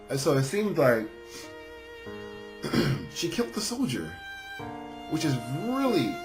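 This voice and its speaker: A man's voice: a little high, sing-song, slow pace, long pauses. A man narrating and commenting on a visual novel playthrough as he reads it aloud. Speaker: video game streamer reading aloud. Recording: music behind the voice.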